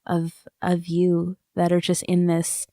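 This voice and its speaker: A woman's voice: lowish voice, completely flat delivery, takes it slowly, dark tone, no echo, clean studio sound. A woman co-hosting a conversational podcast interview, affirming her guest and rephrasing her thoughts as she goes.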